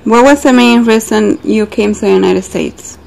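Creaky-voiced woman asking questions. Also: medium pitch, normal speed, a little mellow, slight background noise, poor audio. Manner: polite, scripted questions. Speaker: interviewer. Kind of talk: reading interview questions.

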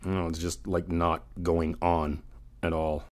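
Low-voiced, easygoing guy on the phone, acted by a man. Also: little pitch movement. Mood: casual, weary.

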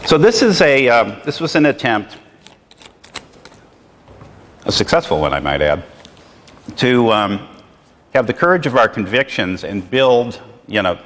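A man's voice: on the low side, fairly bright tone. Middle-aged man lecturing in a reverberant hall. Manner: rambling, loose and conversational, heavy on "you know" fillers. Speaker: conference speaker. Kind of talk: conference lecture. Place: lecture hall with projected slides.

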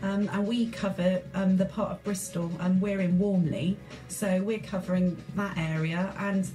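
A woman's voice: medium pitch, fairly even intonation, moderate pace, dark tone, soft background music, low-quality recording. A woman, a guest on a radio show, explaining her chorus enthusiastically and fluently. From England. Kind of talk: radio interview. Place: community radio studio.

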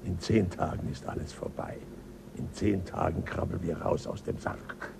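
An actor playing Burr, a crude and paranoid male crewman on a spaceship, in a German radio play, with a gravelly, sneering voice and a mocking, suspicious way of talking. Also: sits low, fairly even intonation, normal speed, background noise. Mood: paranoid, defiant.

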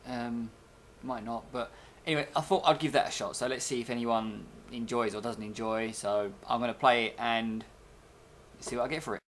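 A man's voice: medium pitch, fairly even intonation, moderate pace, a bit bright. Young guy rambling casually to his webcam in his bedroom, bit of background noise. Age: young adult. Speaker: video blogger. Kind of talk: casual rambling vlog chat. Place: small bedroom, indoors. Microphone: webcam at desk, arm's length. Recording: noisy webcam microphone recording.

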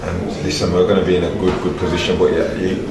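A man's voice: low voice, little pitch movement, fast talker, vocal fry, heavy background noise. A middle-aged man, speaking casually and colloquially as a football manager in a post-match interview, often repeating his phrases. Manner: colloquial, repeats phrases, addresses listener as mate. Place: indoor stadium corridor with bare block walls. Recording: roomy, distant mic, crowd chatter behind.

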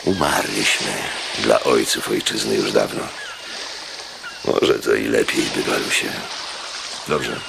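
A very deep, gravelly old Roman governor. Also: male voice, takes it slowly, pauses often, background noise, heavily acted. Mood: resigned. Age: older. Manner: grandiose, weary, declamatory. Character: ageing, sleepless, brooding Roman proconsul of Cyprus. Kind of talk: theatrical radio drama acting.

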